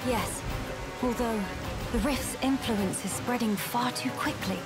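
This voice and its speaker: A woman's voice: fairly high pitch, unhurried, close to an everyday voice. A British woman voicing a regal, resolute young noblewoman warrior in a video game, formal and commanding. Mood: grave, concerned. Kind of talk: video game character acting. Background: game music.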